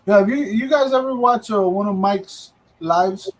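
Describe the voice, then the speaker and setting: A man in his thirties co-hosting a casual livestream, joking and chattering fast with his friends and the chat. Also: high-pitched. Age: 30s. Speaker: livestream co-host.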